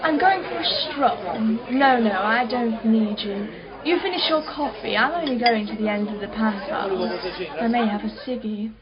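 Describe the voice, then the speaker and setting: A woman's voice: fairly high pitch, a little slow, natural voice. An actress playing a wealthy, well-spoken woman in her forties in a radio play, chatty, polite and self-assured. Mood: breezy, chatty. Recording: slightly dull, faint crowd behind.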